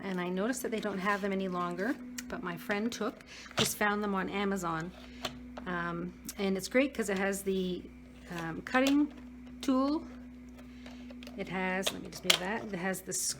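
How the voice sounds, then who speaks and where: Woman, slightly hoarse, casual craft tutorial, talks while working, noisy home recording. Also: medium pitch. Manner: chatty, talks while working, frequent restarts. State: slightly hoarse, losing her voice. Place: craft room at a work table.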